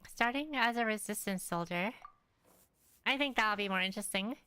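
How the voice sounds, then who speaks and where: A woman's voice: medium pitch, normal speed, pushed and bright, clear, resonant tone. A woman game streamer giving casual, playful, informal reaction commentary as she plays.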